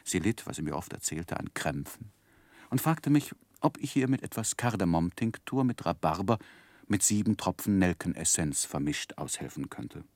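A man acting an earnest, lovestruck young gentleman who recalls his youth in formal, gently self-mocking in a 1960s radio drama. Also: deep voice, animated, normal speed, dry recording. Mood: wistful, wry. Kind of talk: literary first-person narration, 1960s German radio drama.

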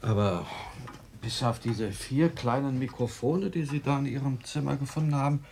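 An actor playing a skeptical, impatient doctor in a German radio drama, brisk and matter-of-fact. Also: male voice, mid-range voice, gravelly, darkish tone, slight background noise, close to an everyday voice. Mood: firm, businesslike. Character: skeptical, impatient male doctor investigating a mystery.

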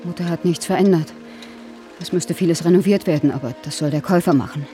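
A woman's voice: fairly low pitch, quick pace, dark tone, soft background music, close to an everyday voice. A woman playing a guilt-ridden older sister in a radio drama, frightened, defensive and pleading. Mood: guarded, guilty.

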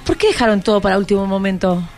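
A woman's voice: medium pitch, expressive, rapid-fire, a little mellow, faint music behind. An Argentine woman hosting an informal radio interview, chatty and encouraging, often thinking aloud. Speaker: radio host interviewing students. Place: radio broadcast at a microphone.

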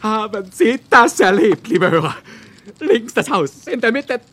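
An actor playing a mocking, bossy narrator of a satirical radio play, addressing listeners with mock solemnity and condescension. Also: male voice, high-pitched, lively intonation, unhurried, noisy background. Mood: excited, taunting.

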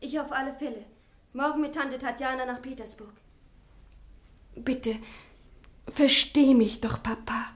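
An actress playing a defiant, impetuous young student daughter in a German radio drama. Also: female voice, sits high, fairly even intonation, a little slow, long pauses, reverberant. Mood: insistent, imploring. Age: young adult. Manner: impetuous, insistent.

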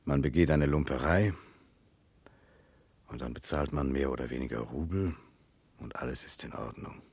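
An actor playing a troubled, guilt-ridden Russian prince in a German radio drama, brooding, earnest and formal. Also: male voice, very deep voice, moderate pace, a little mellow, slightly rough, dry recording, exaggerated voice. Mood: bitter self-reproach.

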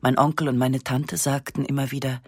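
A woman reading a literary first-person story in a sober, measured, matter-of-fact way. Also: a bass voice, level tone, husky, dry recording, studio quality. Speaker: narrator.

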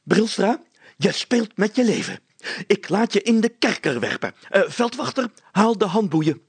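A man's voice: high voice, very animated, measured pace, creaky, slightly rough, no echo, voice pushed up, heavily acted. A man voicing an irascible, bossy schoolmaster in a storybook, blustering and demanding. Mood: furious. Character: irascible, bossy schoolmaster interrogating suspects, male. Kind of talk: dramatized storybook reading.

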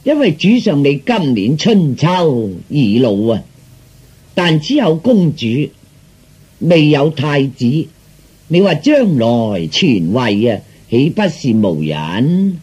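Man acting a slow, oratorical scheming adviser. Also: a little high, very animated, darkish tone, husky, lo-fi sound, heavily acted. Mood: flattering, persuasive. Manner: oratorical, formal, ingratiating. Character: scheming, flattering male military adviser to emperor.

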